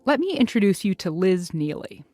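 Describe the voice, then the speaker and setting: A woman's voice: medium pitch, big pitch swings, normal speed, darkish tone, no room sound, studio quality. A woman with an American accent hosting a science podcast in a casual, playful, conversational way.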